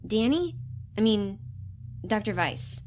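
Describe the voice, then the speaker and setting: An actress playing an awkward, eager young intern in an audio drama, hesitant and chatty, often correcting herself. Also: female voice, mid-range voice, lively intonation, slow pace, a little mellow. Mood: flustered.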